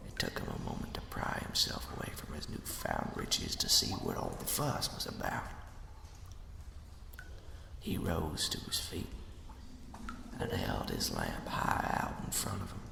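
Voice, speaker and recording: A man narrating a ghost story as ASMR in a hushed, slow, dramatic whisper with a breathy, gravelly edge. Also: rumbling low, sing-song, background noise. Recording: echoey, long cavernous reverb.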